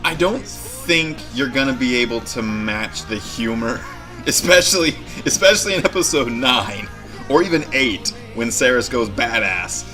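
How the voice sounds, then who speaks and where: Male reaction host, casual, bright forceful voice, lively pitch, faint music behind. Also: unhurried. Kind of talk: casual off-the-cuff reaction commentary.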